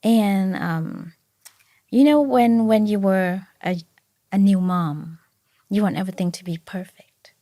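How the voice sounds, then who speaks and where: Thai-accented woman guest, mid-range pitch, candid and chatty, dry close recording. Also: takes it slowly, mellow, no room sound. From Thailand. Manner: candid, restarts sentences while recalling. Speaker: guest, mother of two. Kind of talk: casual interview chat.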